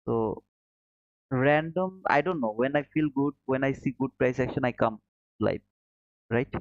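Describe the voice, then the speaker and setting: A man's voice: medium pitch, unhurried, dry recording, lo-fi sound. A man from Bangladesh hosting a trading livestream, reading viewer comments aloud and answering in a friendly way, calling people brother and chuckling often. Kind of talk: casual live chat reading viewer comments.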